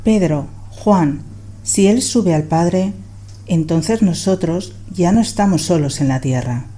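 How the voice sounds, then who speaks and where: A calm, earnest companion of the disciples, acted by a woman in a radio play. The voice is lowish, mellow and slow, with faint ambient noise. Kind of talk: youth radio play acting.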